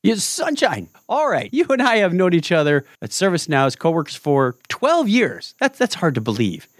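A male podcast host with a fairly high, bouncy voice that swings all over its range. He chats casually and jokes around. The sound is dry and close, with no room echo. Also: moderate pace. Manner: lively, jokey, tangent-prone. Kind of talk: casual interview chat.

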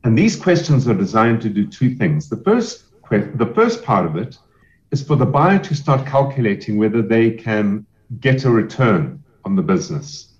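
A man advising on selling a business, speaking in a measured, explanatory way with emphatic repetition. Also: on the low side, big pitch swings, highs cut off. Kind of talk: podcast interview answers.

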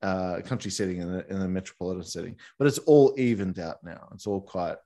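A man with a lowish voice talks through his past in a loose, rambling way. He circles back on himself, filling gaps with "like" and "you know". It is a relaxed interview conversation. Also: a little fast. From Australia. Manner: rambling, many fillers and restarts. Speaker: guest, massage teacher for couples.

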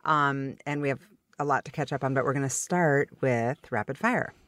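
A woman hosting a podcast interview, upbeat and enthusiastic, firing off quick questions. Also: a bass voice, fairly bright tone, no echo, clean studio sound. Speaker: podcast host.

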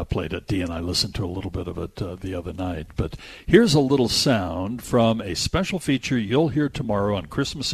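An older man hosts a casual talk radio show. His voice is deep and somewhat raspy, and he wanders from one anecdote to another. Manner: rambling, anecdotal. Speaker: radio host.

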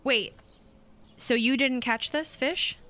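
A woman playing a gentle, modest small-town mother in a radio play, patient and a little hesitant. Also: high-pitched, slow. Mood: puzzled. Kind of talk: naturalistic radio play acting.

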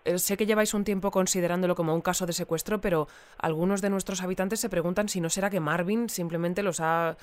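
Woman acting as news interviewer, crisp clear voice, inquisitive, measured, courteous, persistent, careful questioning, audio drama. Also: lowish voice, fairly even intonation, close to an everyday voice. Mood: measured, probing. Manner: questioning, polite, careful phrasing.

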